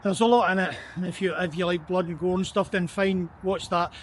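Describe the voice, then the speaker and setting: A man's voice: high-pitched, steady tone, a little fast, slight background noise. A man talking thoughtfully as he walks outside at night, often repeating words as he gathers his thoughts. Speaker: vlogger. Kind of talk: reflective walking vlog. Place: outdoors on a city street at night.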